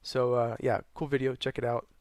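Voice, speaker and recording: A young man narrating a gaming tutorial while losing his voice, hoarse and tired, rambling with many ums and uhs. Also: little pitch movement, fairly quick, darkish tone, no echo, clean studio sound. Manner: tired, low energy. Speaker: gaming channel host. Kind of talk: casual tutorial narration over gameplay.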